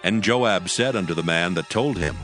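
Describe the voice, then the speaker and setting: Low-voiced man narrating scripture. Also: moderate pace, fairly bright tone. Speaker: audio Bible narrator. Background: background music.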